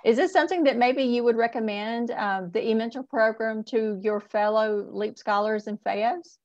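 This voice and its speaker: A woman hosting an interview over a video call, asking questions in a measured, polite way. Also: fairly high pitch. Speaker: interview host. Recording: dull lo-fi video call audio.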